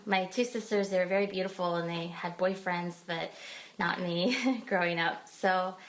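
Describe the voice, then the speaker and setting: A woman from Pennsylvania speaks as a podcast guest, her voice low and her pitch held fairly level. She talks hesitantly, stopping often and filling gaps as she tells her own story. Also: fairly bright tone. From Pennsylvania, United States. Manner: hesitant, many pauses and fillers. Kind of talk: personal testimony in a podcast interview.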